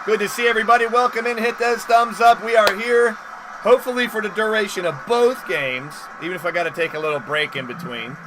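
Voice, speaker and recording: A man's voice: sits high, fairly even intonation, brisk, noisy background. A man hosting a casual live stream, calling plays and greeting viewers by name. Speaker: live stream host doing play-by-play and chat shoutouts. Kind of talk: casual livestream commentary. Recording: game broadcast audio playing behind.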